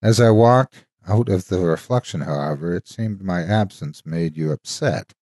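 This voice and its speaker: A man narrating a dramatic story as a devoted, wistful ghostly guardian, an old soldier reminiscing tenderly in a husky, folksy way. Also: sits low, lively intonation, normal speed, a little mellow, no echo, studio quality. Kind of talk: dramatic first-person horror storytime narration.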